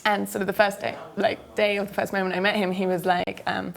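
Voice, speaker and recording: A woman's voice: medium pitch, little pitch movement, a little fast, creaky. A young woman from the UK, a filmmaker guest answering interview questions hesitantly, full of fillers, and tired from travel.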